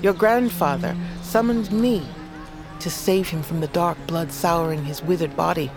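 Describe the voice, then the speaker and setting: A wise old witch of a grandmother, her voice full of gravelly creak. She speaks with stern, wry measure, as if handing down old lore. Music plays behind her in this fantasy audio drama. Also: on the low side. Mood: firm, dignified. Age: older. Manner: measured, storytelling, cryptic.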